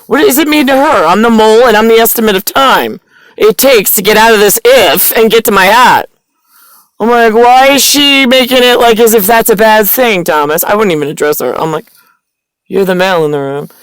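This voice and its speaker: A woman's voice: on the high side, brisk, smooth, no echo, rough recording. A woman telling a personal family story in a rambling, disjointed way, swearing often and stumbling through fillers and self-corrections. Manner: disjointed, profane, agitated retelling.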